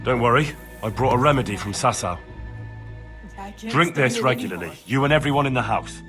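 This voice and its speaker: A man's voice: medium pitch, animated, fairly quick, over music, natural voice. An actor playing a plain-spoken, helpful young man of the common folk in a medieval video game, talking earnestly. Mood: reassuring. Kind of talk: video game cutscene acting.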